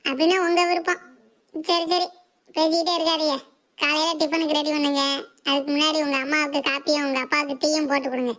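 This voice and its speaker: A woman voicing an assertive, sharp-tongued housewife and mother in a comic cartoon. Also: sits high, little pitch movement, quick pace. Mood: bossy. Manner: bossy, challenging, sarcastic.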